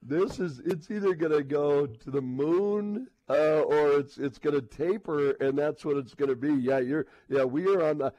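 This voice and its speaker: A man hosting a sports talk radio show in an effusive, halting, unscripted way, repeating phrases for emphasis. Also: a little high, warm tone, no echo, poor audio.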